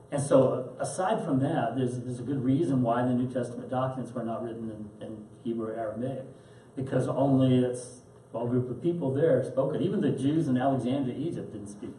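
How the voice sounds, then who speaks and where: A man teaching a class and answering questions in a thoughtful, halting way. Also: medium pitch, dark tone. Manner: thoughtful, halting with fillers and restarts. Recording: roomy echo, mic at a distance, faint hiss.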